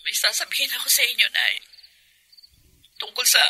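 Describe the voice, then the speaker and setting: Young troubled Filipino wife, radio drama, subdued manner but a piercingly bright tone. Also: female voice, fairly high pitch, sing-song, moderate pace, husky, dry recording, heavily acted. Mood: uneasy, confiding. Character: troubled young pregnant wife confiding in her mother.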